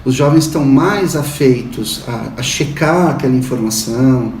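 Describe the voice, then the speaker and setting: A Brazilian man in his fifties, a university professor, explaining in a measured, academic way in an interview. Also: medium pitch, darkish tone, slight background noise. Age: 50s. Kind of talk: interview answers. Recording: roomy echo, distant mic, faint ambience.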